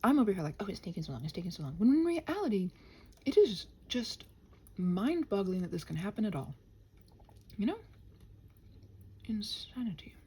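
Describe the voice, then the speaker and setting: Female ASMR host, relaxed and dark-toned yet lively in pitch; distracted, playful self-talk that drifts into whispering. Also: moderate pace, long pauses, slightly rough. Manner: scattered, muttering to herself, often drops into whispers; playfully talks to the computer and quotes imagined exchanges. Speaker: channel host rambling while working. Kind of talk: casual rambling body-doubling ASMR chat.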